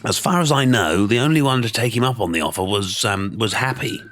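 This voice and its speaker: Male actor as world-weary storybook man, sceptical, low voice, lively intonation, music underneath. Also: brisk. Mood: gossiping. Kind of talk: audio drama acting.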